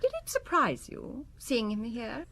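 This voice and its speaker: An actress playing a cold, elegant wife in an audio drama, speaking precisely with haughty contempt and pointed questions. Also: female voice, on the high side, big pitch swings, measured pace, creaky, pushed and bright, heavily acted. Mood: cool, pointed.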